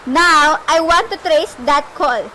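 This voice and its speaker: A woman's voice: sits high, slow pace, bright, forceful tone, full character voice. A woman playing a frantic, imperious telephone caller in an amateur suspense radio play, melodramatic and insistent. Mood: exasperated, insistent. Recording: noisy amateur recording with faint hiss.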